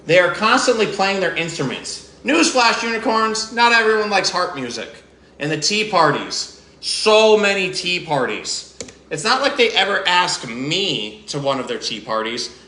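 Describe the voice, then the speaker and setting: A man's voice: on the high side, lively intonation, normal speed, pushed and bright, reverberant, slight background noise, rough recording. A man reading aloud as a grumpy, jealous male goblin who complains indignantly.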